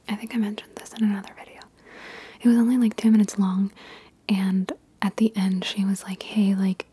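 A woman chatting informally and ramblingly in a breathy ASMR whisper, answering viewer questions as a video creator. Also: mid-range voice, warm tone.

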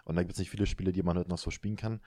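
A man's voice: deep voice, steady tone, very fast, warm tone, no room sound, studio quality. A man in his thirties hosting a casual chat, laid-back and rambling, often tagging his sentences with little filler questions. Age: 30s. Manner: laid-back, rambling, self-mocking, frequent filler tags. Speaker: podcast host.

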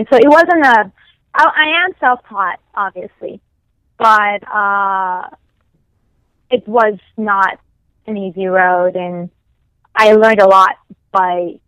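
A woman, not a native English speaker, telling her story as a podcast guest in a lively, animated way, acting out the conversations she recounts. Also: mid-range voice, no room sound, low-quality recording. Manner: animated storytelling, acts out quoted exchanges. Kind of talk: casual interview conversation.

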